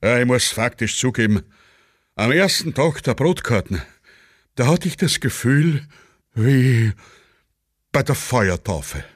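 A self-important Viennese heavy eater, acted by a man for a satirical radio play. He complains pompously, with a lively, swooping melody. The sound is dry and close. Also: mid-range voice, takes it slowly, long pauses, slightly rough, no echo.

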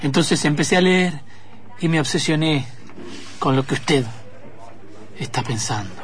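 A man's voice: on the high side, lively intonation, unhurried, slight background noise. A man playing a timid, deferential young student who talks hesitantly and apologetically in improvised radio theatre. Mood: sheepish. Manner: hesitant, apologetic, repeats words.

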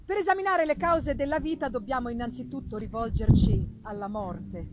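High-pitched woman reading theatrically. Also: very noisy. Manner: theatrical, deliberate phrasing with dramatic pauses. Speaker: reader performing a gothic novel. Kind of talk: dramatic literary reading to live music. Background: live musical accompaniment.

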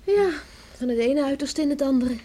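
High-voiced, earnest young farm daughter. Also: female voice, lively intonation, moderate pace, mellow. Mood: concerned. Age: young adult. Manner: eager, earnest, persuasive. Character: earnest, hopeful young farmer's daughter, protective and bright-spirited. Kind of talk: Dutch radio play acting. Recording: noisy old radio play recording.

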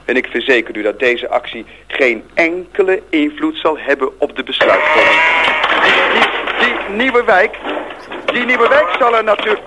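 A man's voice: on the high side, lively intonation, normal speed, lightly acted. An actor playing a pompous, flustered city alderman who stammers and blusters in a radio play. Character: blustering, panicking city alderman cornered by cows. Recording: very noisy, mooing cattle behind.

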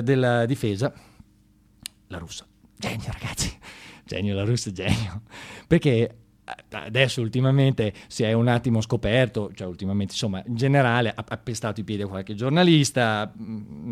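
Male satirical monologuist, medium pitch, ironic, colloquial, halting, excitable. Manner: ironic mock praise, halting and colloquial, bursts into excited raised voice. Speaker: monologue performer in a satirical theatre show. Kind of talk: satirical stage monologue, conversational.

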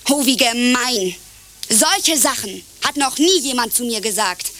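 An actress playing a wounded, indignant, melodramatic woman in a radio play, with drawn-out theatrical delivery. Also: a little high, lively intonation, a bit bright, a little hiss or hum. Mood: hurt, indignant. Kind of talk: German radio play dialogue.

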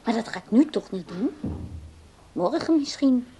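A woman's voice: fairly high pitch, lively intonation, unhurried, mellow, slight background noise. An actress playing a simple, kind-hearted young woman in a radio play, speaking in an artless, shyly hesitant way. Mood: touched, earnest.

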